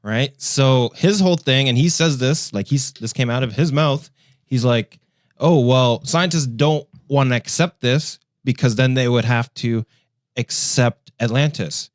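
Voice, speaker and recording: A man's voice: medium pitch, a little slow, dry recording, studio quality. A man co-hosting a podcast in casual, conversational chat. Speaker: podcast co-host.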